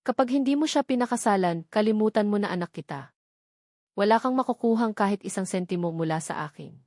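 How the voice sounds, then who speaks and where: A woman's voice: medium pitch, lively intonation, fairly quick, darkish tone, no room sound, clean studio sound, natural voice. A woman storyteller voicing a stern elderly father, a rich patriarch who speaks in a commanding, uncompromising way. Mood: stern, threatening.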